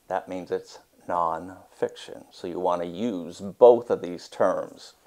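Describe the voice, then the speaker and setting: A man teaching and reading a storybook aloud with deliberate, emphatic, expressive phrasing. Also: mid-range voice.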